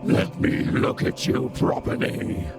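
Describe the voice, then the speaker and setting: A man playing a huge ancient demon in an audio horror drama, gravelly, imperious and menacing, with formal, theatrical speech. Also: takes it slowly, lightly acted. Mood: probing, intent. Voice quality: gravelly, creaking. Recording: loud dramatic music behind.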